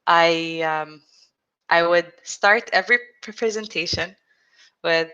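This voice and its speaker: A woman in her thirties, an interview guest telling her own story in an earnest, reflective way, speaking with a stutter, frequent restarts and pauses. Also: lowish voice, sharp tone, clear, resonant tone, dull audio. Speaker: interview guest, support group founder. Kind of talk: personal storytelling in conversation.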